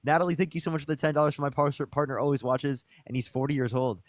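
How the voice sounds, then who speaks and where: Rapid-fire man thanking donors. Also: on the high side, no room sound. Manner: rattles off thanks, mocking laughs. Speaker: streamer co-host. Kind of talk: rapid donation thank-yous.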